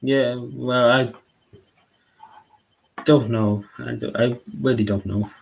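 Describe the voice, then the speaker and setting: A young man from Derry in Northern Ireland chats loosely with viewers on his live stream. His Derry accent is strong, and his mid-pitched voice wanders from thought to thought. He stumbles, restarts and apologizes as he goes. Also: fairly even intonation, gravelly, a little hiss or hum, rough recording. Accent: Derry, Northern Irish. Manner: halting, rambling, apologetic, full of restarts. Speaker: livestreamer.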